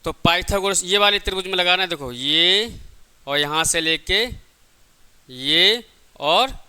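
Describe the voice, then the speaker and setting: A man's voice: high voice, a little slow, bright, forceful tone, smooth. A man teaching a lesson step by step, didactic, often asking questions and answering them himself. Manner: didactic, repeatedly asks and answers own questions. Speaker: physics teacher. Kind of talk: classroom lesson, step-by-step explanation.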